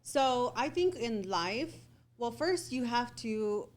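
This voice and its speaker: A woman with a deep voice, speaking as a podcast guest in an earnest, reflective way. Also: animated. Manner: earnest, reflective, slow and deliberate. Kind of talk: casual podcast conversation.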